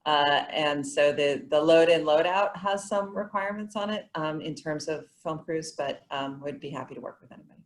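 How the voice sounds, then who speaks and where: A woman's voice: low-pitched, normal speed, hardly any pauses. A woman speaking as a museum representative on a panel, explaining things with enthusiasm. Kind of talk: panel discussion answers.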